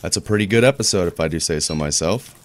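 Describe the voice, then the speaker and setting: Deep-voiced man, rambling game commentary. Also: quick pace. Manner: rambling, searches for words, laid-back. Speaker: gaming YouTuber. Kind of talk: casual let's-play commentary.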